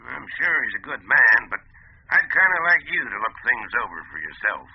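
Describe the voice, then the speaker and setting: A stubborn, folksy mine owner from the American Southwest in a radio play. He drawls plainly in a husky, creaky voice that rises and falls freely, heard over a muffled line. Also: mid-range voice, very animated, a little fast, exaggerated voice. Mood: persistent. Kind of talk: radio drama acting. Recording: muffled, band-limited radio drama telephone line.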